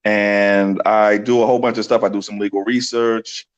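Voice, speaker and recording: A man's voice: lowish voice, level tone, moderate pace, dull audio. A man from New City, a guest explaining his organizing work earnestly, thinking aloud. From New York City. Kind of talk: casual explanatory interview talk.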